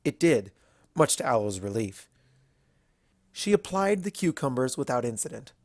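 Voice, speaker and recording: A man's voice: medium pitch, big pitch swings, normal speed, a little mellow, dry recording, clean studio sound. A man reading scripted fiction aloud as the narrator of a story.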